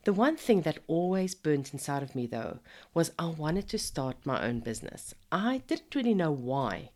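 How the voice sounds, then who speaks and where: Podcast host, woman in her 50s, low and croaky from a cold, friendly pep-talk delivery. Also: big pitch swings, moderate pace, darkish tone. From Zimbabwe. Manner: chatty and encouraging, addresses the listener as a friend. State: hoarse from a cold. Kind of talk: casual motivational podcast monologue.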